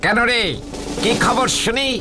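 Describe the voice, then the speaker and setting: A man voicing a boastful, excitable bachelor uncle in a theatrical cartoon style. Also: extremely high pitch, measured pace, background noise. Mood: startled. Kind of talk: animated cartoon acting.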